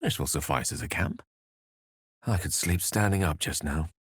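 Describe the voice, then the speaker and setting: A wry, erudite wizard with a slightly low voice that moves through wide, theatrical swings of pitch. He speaks in polished, articulate phrases with an earnest streak, as a fantasy video game companion, recorded close and dry. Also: expressive, moderate pace, slightly rough, dry recording, exaggerated voice. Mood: observant. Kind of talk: scripted video game voice acting.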